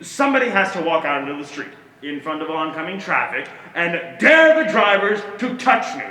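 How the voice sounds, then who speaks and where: A man on stage playing a menacing, domineering figure who relishes violence, in deliberate theatrical monologue. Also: fairly high pitch, sing-song, sharp tone. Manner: deliberate, threatening monologue delivery. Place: stage in a hall, one-act play performance. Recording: echoey theater hall, faint noise.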